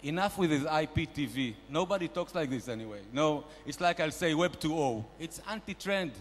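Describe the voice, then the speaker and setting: A man's voice: lively intonation, normal speed. A man playing a brash, boastful male investor nicknamed a shark, barking bossy mock-grand business advice at a conference panel. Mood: pushy. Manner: loud, bossy, mock-grand sales pitching. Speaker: comedian performing at a panel. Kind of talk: stand-up character bit. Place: large conference hall. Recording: reverberant conference hall.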